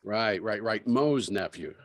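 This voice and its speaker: A man's voice: on the low side, animated, a little slow. An actor playing a brusque, weary old-school police superintendent in his fifties, dry and impatient.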